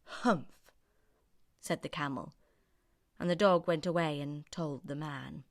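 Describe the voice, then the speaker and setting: Brief: female storybook narrator, slightly low pitch, very animated, unhurried, close dry sound. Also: no room sound. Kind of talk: expressive scripted children's story narration.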